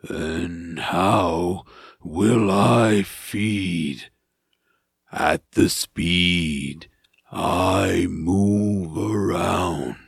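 Wretched man-eating monster: raspy, creaky, ponderous and drawn-out, for fantasy audio drama. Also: low-pitched, no room sound, clean studio sound, exaggerated voice.

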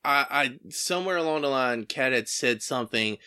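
A man's voice: mid-range voice, animated, normal speed, bright, forceful tone, clean tone. A man hosting a podcast interview, rambling and hesitant, with lots of fillers. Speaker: podcast host. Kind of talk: casual interview chat.

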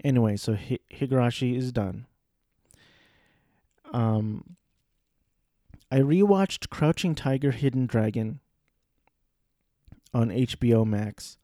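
Slow, mellow-voiced man rambling casually. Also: mid-range voice, no room sound, studio quality. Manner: hesitant, with many fillers and restarts. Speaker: solo commentator.

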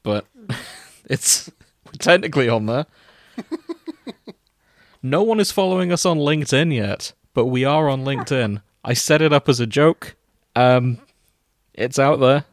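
A man hosts a casual podcast. His voice sits at an ordinary male pitch. The sound is dry, with very little room in it. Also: a little slow, no echo. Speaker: podcast host.

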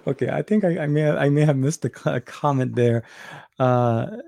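A man's voice: medium pitch, moderate pace, vocal fry, warm tone, no room sound. A middle-aged man from Lebanon hosting a casual live Q&A, talking with many fillers and restarts and answering viewers' questions. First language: Arabic. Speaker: livestream host.

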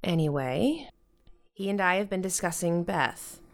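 An actress playing a patient, tired middle-aged mother in a modern audio drama, speaking with measured, tactful firmness. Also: lowish voice, expressive. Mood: weary, firm. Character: patient, weary middle-aged American mother, gently firm.

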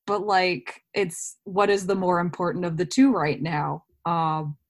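A woman's voice: on the low side, fairly even intonation, a little slow. A woman speaking casually as an actor being interviewed, telling stories and voicing her own thoughts as quotes.

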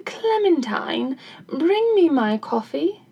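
Woman as a high, composed young wife. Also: very animated, takes it slowly, vocal fry, warm tone, lo-fi sound, heavily acted. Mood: coolly commanding. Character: young wife, lovely maiden, coolly composed and secretive. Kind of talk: folktale character voice in storytelling.

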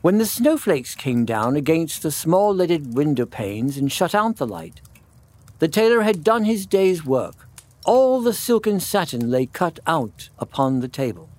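A man narrating a classic children's story in measured phrases with dramatic pauses. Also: on the high side, darkish tone.

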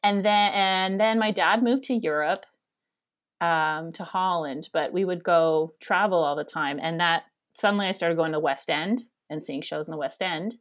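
A Canadian woman in her thirties with a loud, nasal voice, chatting as an interview guest and rambling with frequent laughs. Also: lowish voice, normal speed. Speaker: guest, theater education director. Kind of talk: casual interview conversation.

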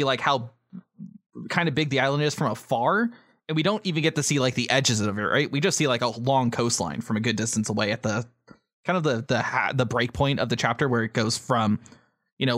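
A man with an average-pitched voice co-hosts a podcast. He chats casually, explains points and prompts his co-host, checking in often in a conversational way. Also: a little fast, a bit bright, no room sound, studio quality.

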